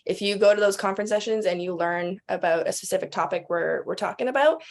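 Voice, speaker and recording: A woman's voice: mid-range voice, quick pace. A woman speaking as an interview guest, chatty and casual, explaining things with little acted-out examples. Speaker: podcast guest, expo representative.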